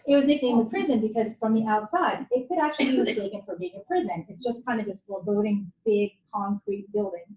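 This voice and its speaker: A woman's voice: mid-range voice, quick pace, hardly any pauses, darkish tone, a little hiss or hum. Guest lecturer, forties woman, Japanese native, self-deprecating and chatty; echoey hall, dull lo-fi audio. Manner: candid, conversational, self-deprecating. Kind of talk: university lecture talk.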